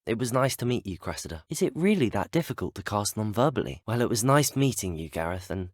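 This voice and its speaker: A man's voice: lowish voice, big pitch swings, fast talker, no echo, studio quality. A young man with an English accent voicing a polite, eager student wizard in scripted video game dialogue. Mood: earnest, curious. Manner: polite, well-spoken, earnest.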